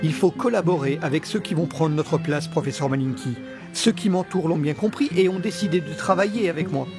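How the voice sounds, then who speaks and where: Man as grandiose villain doctor, high-pitched, courteous and measured delivery, audio drama, music bed. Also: lightly acted. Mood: persuasive, grave. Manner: formal, measured, persuasive. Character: suave, grandiose male doctor villain ruling a survivors' ark. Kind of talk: scripted audio drama acting.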